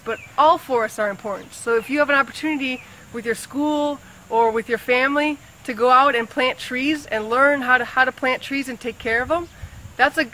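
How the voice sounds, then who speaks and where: Woman talking to schoolkids about saving forests, bright tense-ish voice, repeats herself, faint hiss behind. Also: on the high side. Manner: earnest, repeats words and restarts while thinking aloud. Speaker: rainforest conservationist answering pupils' questions. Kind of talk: simple explaining to young schoolchildren.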